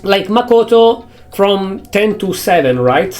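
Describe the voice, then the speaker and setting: A young man with an Italian accent, a native Italian speaker, thinking aloud in English with halting phrasing as he streams a game. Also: high-pitched, animated, darkish tone, soft background music. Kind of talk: casual gameplay commentary.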